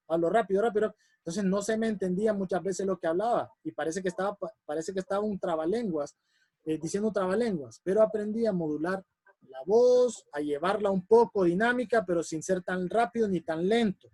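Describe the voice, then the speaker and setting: A man with a strong voice teaching a public speaking workshop, animated and repeating examples for emphasis. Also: high voice, no room sound. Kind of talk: workshop lesson. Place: classroom or online lesson.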